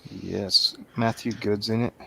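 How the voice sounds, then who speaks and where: A man with a fairly low voice banters about films. He keeps it casual, tossing out short, blunt remarks as one of a panel. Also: steady tone, slow pace, gravelly, fairly bright tone, a little hiss or hum, low-quality recording. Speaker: film review show panelist.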